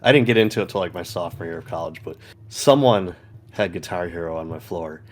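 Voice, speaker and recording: A man with a lowish voice co-hosts a podcast. He shares anecdotes casually, as if among friends. The talk is unscripted and conversational. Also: quick pace. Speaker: podcast co-host.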